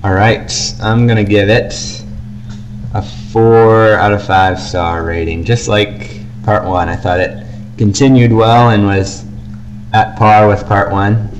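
A man co-hosting a podcast, giving casual, measured reviews in a conversational, hedging way. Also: fairly low pitch, flat delivery, soft background music, poor audio. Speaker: podcast co-host.

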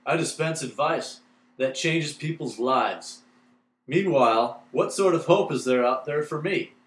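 A man's voice: on the high side, animated, measured pace, a bit bright. A man acting a world-weary, helpful 1930s bartender in old-time radio comedy, plain-spoken and theatrical. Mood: wistful, melodramatic. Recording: roomy, echoing room sound.

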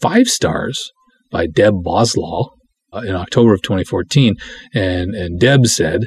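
Husky, lowish-voiced man hosting a podcast. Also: lively intonation, a little slow, dry recording. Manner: rambling, self-deprecating, conversational. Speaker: podcast host. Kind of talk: casual solo podcast chat, reading aloud.

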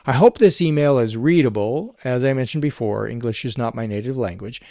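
A man co-hosting a casual tech podcast, reading out a listener's email and explaining answers in a measured way. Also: mid-range voice, expressive, a little mellow, dry recording, studio quality. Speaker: podcast co-host.

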